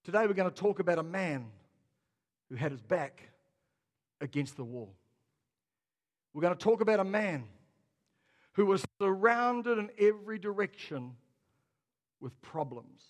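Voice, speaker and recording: High-voiced preacher in a reverberant church. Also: male voice. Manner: rousing, calls out to the congregation. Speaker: pastor. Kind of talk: sermon. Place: large church hall. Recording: long hall reverb.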